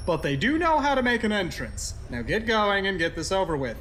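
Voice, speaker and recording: A man playing a confident, brisk starship commander in an animated sci-fi adventure, dry and commanding. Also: high-pitched, slight background noise. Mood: brisk, firm. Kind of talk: cartoon sci-fi acting.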